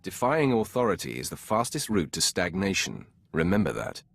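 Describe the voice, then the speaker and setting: An actor playing a stern, commanding dark lord in a video game, with a terse, cold, imperious manner. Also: male voice, deep voice, very animated, normal speed, gravelly, breathy edge, dry recording, heavily acted. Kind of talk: video game character acting.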